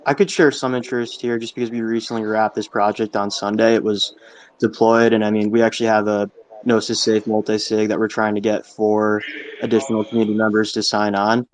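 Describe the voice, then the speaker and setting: A man's voice: lowish voice, little pitch movement, fairly quick, a little hiss or hum, rough recording. A man speaking off the cuff in a casual online discussion, hedging often with a conversational manner. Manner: hedging, conversational, heavy use of "I mean". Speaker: audience participant in a live audio discussion. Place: live online audio room.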